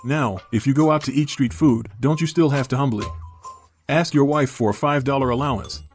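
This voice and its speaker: A man's voice: mid-range voice, a little fast, faint music behind, lightly acted. A man playing a teasing, easily exasperated bachelor friend in a dubbed short drama. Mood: mocking. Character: mocking, exasperated bachelor friend of a fallen tycoon.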